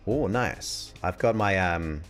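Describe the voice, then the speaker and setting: A man in his forties, a hypnotist, hosting a casual live Q&A, chatting in a relaxed way and reading viewer questions aloud. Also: sits low, takes it slowly, faint music behind. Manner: relaxed, chatty, reads questions aloud and muses between them. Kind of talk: casual live-stream Q&A chat.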